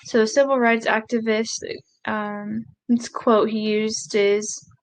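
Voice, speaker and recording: Halting higher-voiced woman interpreting a speech. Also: level tone, low-quality recording. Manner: halting, with pauses and self-corrections. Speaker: sign language interpreter voicing a speech.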